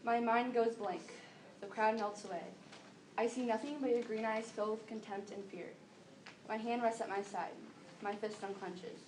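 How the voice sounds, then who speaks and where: A woman's voice: fairly high pitch, fairly even intonation, normal speed, a little mellow. A young teenage girl reciting scripted monologue lines in a readers theater piece. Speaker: student performer. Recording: echoey room, distant mic, background noise.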